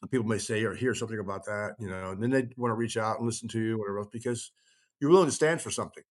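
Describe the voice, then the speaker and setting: A man talking casually as he tells stories and explains things, rambling and halting, often trailing off mid-thought. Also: fairly low pitch. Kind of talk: casual conversational storytelling and explaining.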